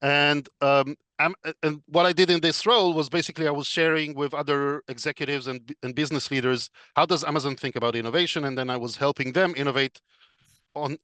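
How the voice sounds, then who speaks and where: A man speaks as a podcast guest on a video call. He explains things in a measured way, leaning on fillers. His voice is bright and forward, slightly high, with a dry, close sound. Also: dry recording. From Israel. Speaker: podcast guest, business consultant. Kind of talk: casual interview chat.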